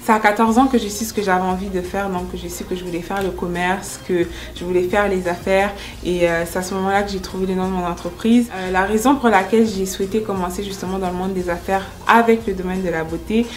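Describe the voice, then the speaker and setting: A woman's voice: medium pitch, fairly even intonation, fast, hardly any pauses. A woman in her twenties, born in France and raised in the United States, speaking as an interviewed entrepreneur in an earnest, explanatory way. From born in France, grew up in the United States. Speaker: entrepreneur and makeup artist being interviewed. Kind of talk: first-person interview testimonial. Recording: music under the voice. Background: background music.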